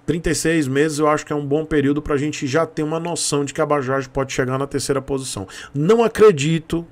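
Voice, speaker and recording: A man in his thirties with a Bahian Brazilian accent, giving informal, emphatic opinion commentary to camera as a video host, close to a desk mic in a home room. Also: a little high. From Salvador, Bahia, Brazil. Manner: emphatic, repeats points for stress. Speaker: YouTube host, motorcycle commentator. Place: small home room with shelves, indoors. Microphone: desk microphone close in front.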